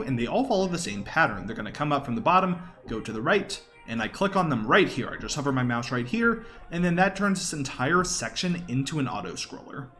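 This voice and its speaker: A man's voice: medium pitch, fairly bright tone. A man giving casual live commentary as a speedrunner, explaining informally with wry asides.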